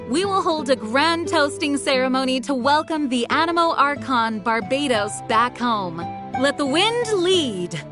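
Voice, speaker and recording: A woman playing a gracious, dutiful young female leader in a video game, formal, poised and ceremonial in her speech. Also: high-pitched. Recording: music playing behind the voice.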